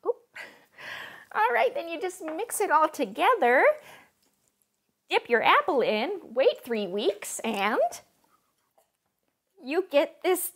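A young woman playing a chirpy, wacky cooking-show host in a home kitchen, with a bubbly sing-song delivery and sudden hushed asides. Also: high voice, heavily acted. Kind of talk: parody cooking show, scripted comedy.

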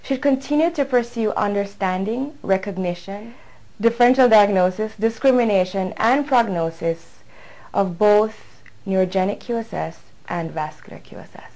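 Woman doctor in her 30s, clear measured delivery, mid-pitched, medical explainer to camera. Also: a little mellow, poor audio. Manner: clear, measured, careful with technical terms. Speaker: physician presenting her research. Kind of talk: prepared medical talk to camera. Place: indoors, seated in a bright hospital lounge by windows.

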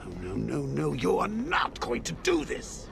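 A man's voice: on the high side, huge pitch swings, a little slow, gravelly, noisy background, full character voice. An actor playing a manic, taunting male clown villain in a video game, sardonic and theatrical. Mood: manic protest.

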